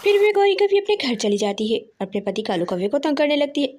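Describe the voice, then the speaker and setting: A woman storyteller with a very high voice. She reads scripted narration for a children's animal tale. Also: big pitch swings, brisk, darkish tone.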